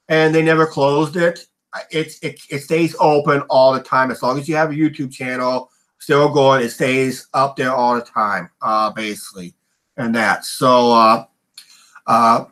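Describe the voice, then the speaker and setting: A man's voice: fairly high pitch, a bit bright, rough recording. A middle-aged man hosting a casual livestream chat, rambling with lots of "uh" and "and all that stuff" fillers. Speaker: livestream host.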